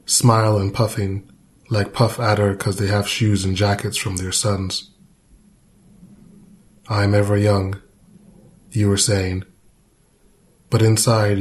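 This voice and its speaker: A man's voice: on the low side, a little mellow. A man reading a narrative poem aloud. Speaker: poetry reader. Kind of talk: poetry reading.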